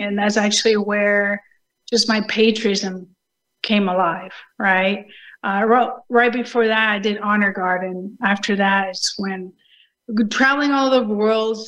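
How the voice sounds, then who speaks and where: A woman's voice: mid-range voice, fairly bright tone, poor audio. A woman with a Puerto Rican accent, telling her personal story as a guest in a remote interview. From Puerto Rico. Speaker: show guest, Air Force veteran. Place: video call.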